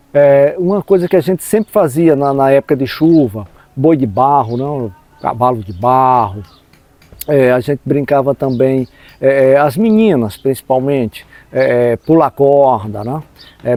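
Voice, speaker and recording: Mellow older man with a northeastern Brazilian accent. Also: medium pitch, no room sound. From rural northeastern Brazil. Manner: halting, frequent 'né' tags and repetitions. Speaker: interview guest, historian and teacher. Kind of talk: informal reminiscing in an interview.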